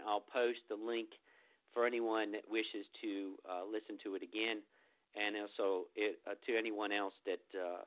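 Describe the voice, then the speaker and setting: A man with a cold hosting a group phone call, talking loosely with many fillers and restarts before leading a meditation. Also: lowish voice. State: getting over a cold. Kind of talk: informal spiritual talk before a meditation. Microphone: telephone. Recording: phone-line recording.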